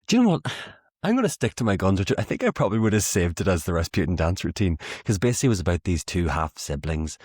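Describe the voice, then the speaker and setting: Very deep, husky Irish man. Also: dry recording, clean studio sound. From Ireland. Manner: self-deprecating, animated storytelling with laughs. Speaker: playwright guest. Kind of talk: interview conversation.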